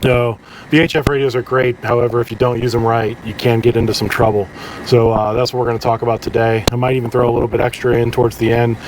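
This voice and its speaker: A man talks through practical tips in a casual vlog style. His mid-range voice moves in a halting, conversational way. A low ambient drone sits faintly behind the speech. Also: level tone, noisy background. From southeastern United States. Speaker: charter boat captain presenting tips.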